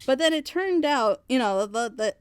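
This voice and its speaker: A woman's voice: sits high, animated, moderate pace, smooth, dry recording. A woman reviewer speaking in a casual, opinionated way, with emphatic, rambling explanations and rhetorical questions. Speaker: reviewer on a reaction show.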